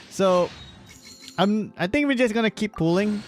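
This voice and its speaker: A male live streamer with a somewhat high voice talks casually over gameplay, with music playing behind him. He rambles, often repeating words and phrases, and tends to chuckle between remarks. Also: expressive, unhurried. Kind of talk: casual gameplay livestream commentary.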